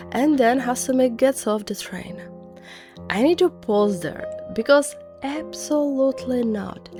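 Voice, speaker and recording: A woman narrating scary stories conversationally as host, dropping dramatic short phrases and wry personal reactions. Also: fairly high pitch. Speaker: narrator and host of horror legend videos. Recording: music bed under voice. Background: background music.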